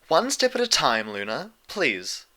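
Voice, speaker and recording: Man as serene female pony ruler, gracious, measured, raised bright voice, fantasy audiobook. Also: on the high side, wildly swooping pitch, pitched above the performer's own voice, exaggerated voice. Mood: calm suggestion.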